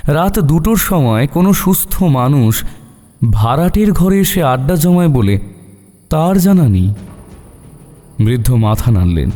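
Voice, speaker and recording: A man's voice: fairly high pitch, big pitch swings, measured pace, pauses often, mellow. A man giving a dramatic, scripted narration of a horror story. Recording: long reverb over faint music. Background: faint music.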